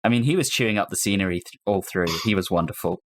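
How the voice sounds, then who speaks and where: A man's voice: sits low, quick pace, a little hiss or hum. A man hosting a casual panel discussion podcast, talking conversationally with fellow hosts. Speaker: podcast host.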